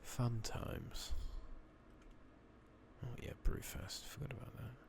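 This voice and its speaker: A man giving a soft-spoken, partly whispered ASMR game playthrough, musing to himself and reading game text aloud over a noisy makeshift microphone. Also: mid-range voice, steady tone, slow, creaky. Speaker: ASMR gaming streamer. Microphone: close makeshift replacement microphone.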